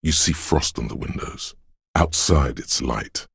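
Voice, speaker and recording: An actor playing the solemn, brooding spirit voice of a city, narrating a video game in a husky, poetic, ominous way. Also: male voice, unhurried, a bit bright, no room sound, clean studio sound, exaggerated voice. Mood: eerie, melancholy. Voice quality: very deep, gravelly, husky.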